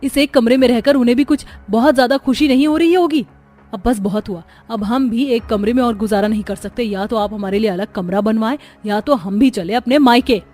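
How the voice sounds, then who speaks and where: A woman's voice: a little high, a little fast, a little mellow, faint music behind, lightly acted. A woman voicing indignant, bickering women of a family in a Hindi cartoon story, in a dramatic, scolding manner. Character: bickering, indignant young Indian daughters-in-law and scolding mother-in-law.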